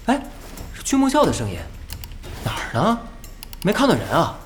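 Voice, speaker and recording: A woman's voice: medium pitch, wildly swooping pitch, moderate pace, soft background music. An actress playing a young woman pro gamer, a team member in an audio drama. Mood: alert, puzzled. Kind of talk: Chinese audio drama acting.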